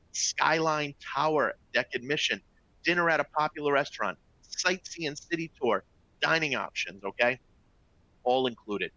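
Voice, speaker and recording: A man's voice: mid-range voice, bright, forceful tone, dry recording, lo-fi sound. A middle-aged man with a Boston accent presents a live webinar to travel agents, upbeat and chatty, often checking in with "all right?" and "folks". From Boston area, US.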